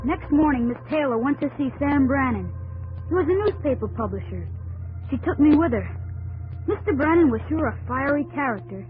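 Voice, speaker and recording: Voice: sits high, animated, normal speed, rough recording. An actor playing an earnest, eager young frontier son who narrates and speaks in a 1950s radio drama. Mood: earnest narration. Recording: old radio broadcast with music.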